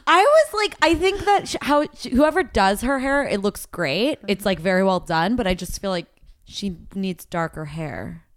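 Animated giggly woman, dry close sound. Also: medium pitch, normal speed, no room sound. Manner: giggly, animated, frequent laughter. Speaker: podcast co-host. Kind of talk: casual chat.

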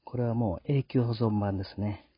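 Husky man hosting, dry dull sound. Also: lowish voice, steady tone, moderate pace, no echo. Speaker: host. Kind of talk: casual podcast sign-off monologue.

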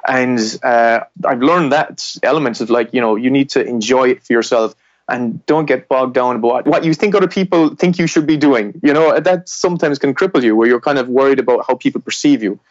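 A middle-aged Irish man talks about his work in a podcast interview, looping back and restarting his sentences as he thinks. His voice sits at an ordinary pitch. The recording sounds dry and a bit dull, as if from a modest lo-fi mic. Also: a little fast, dry recording. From southwest Ireland. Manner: rambling, self-correcting, reflective. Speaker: animated film director.

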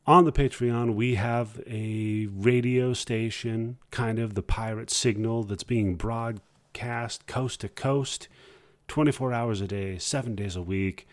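A man hosting a podcast, talking informally and haltingly in a casual solo style. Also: mid-range voice, steady tone, dry recording. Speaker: podcast host.

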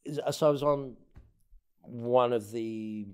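A man speaking as an interview guest in casual anecdotal talk, often halting and repeating words as he goes. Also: mid-range voice, smooth. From born in North Africa, has lived in England and the United States. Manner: halting, stammered repetitions of words. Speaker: interview guest, former presidential candidate.

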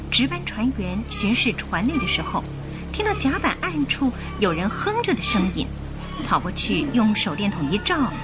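A woman narrating a radio drama in an expressive, suspenseful storytelling style. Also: mid-range voice, poor audio. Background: music.

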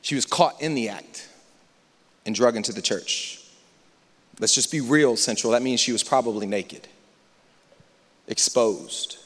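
A young adult man from the United States preaching a sermon, moving between loud, lively passages and hushed, confiding ones. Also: medium pitch, unhurried, pauses often. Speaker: pastor. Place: large church hall. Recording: church hall reverb.

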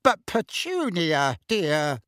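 A man's voice: fairly high pitch, animated, moderate pace, breathy edge, no room sound, clean studio sound, heavily acted. A man voicing a blustering middle-aged uncle in an audiobook, easily deflated and prone to sputtering. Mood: bewildered, timid. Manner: roaring, sputtering, stammering when unsettled.

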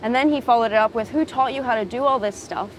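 A woman's voice: fairly high pitch, quick pace, a little hiss or hum, rough recording. A woman raised in Japan answers interview questions outdoors at a beach, speaking reflectively and thoughtfully with frequent ums. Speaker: guest, designer and model.

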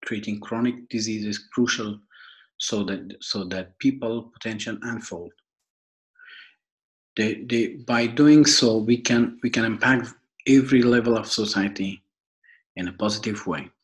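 A young man from Afghanistan with an Afghan accent, giving a prepared podcast introduction in a formal, halting way, often repeating and rephrasing. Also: lowish voice, rough recording. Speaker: podcast host and foundation worker.

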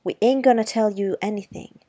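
A woman's voice: medium pitch, brisk, mellow, no room sound, natural voice. A woman voicing a bossy young boy, speaking in a warning tone.

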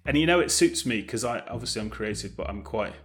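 A man's voice: medium pitch, expressive, fast talker, a bit bright. A man chatting casually as an interview guest, speaking in a hesitant, self-effacing way with trailing phrases. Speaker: guest, audio drama director and producer.

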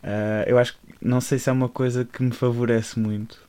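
A man's voice: mid-range voice, flat delivery, fairly quick, crowd noise. A man hosting a casual podcast interview, laughing often and hesitating through his questions. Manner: laughing, hesitant, many fillers and restarts. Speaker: podcast host.

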